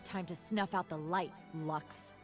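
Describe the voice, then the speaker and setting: An actress playing a stern, confident young ninja assassin in a video game, curt, deadpan and cutting. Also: female voice, fairly low pitch, unhurried, soft background music, close to an everyday voice. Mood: menacing, confident. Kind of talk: video game champion voice lines.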